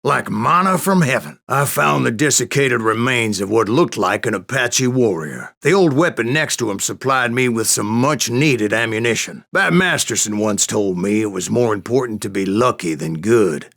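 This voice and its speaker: A man playing a grizzled old American gunslinger in a video game, telling tall tales with a folksy, wry, gravelly drawl. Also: medium pitch, expressive, normal speed, few pauses, fairly bright tone. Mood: dramatic storytelling. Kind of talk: video game character narration.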